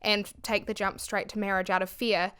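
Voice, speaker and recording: A woman's voice: medium pitch, level tone, normal speed, fairly bright tone, clear, resonant tone. A woman from New Zealand with a Kiwi accent, hosting a casual commentary vlog from her bedroom, chatty and full of fillers. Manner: chatty, filler-heavy, laughs between phrases. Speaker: YouTube commentary host. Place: bedroom at home.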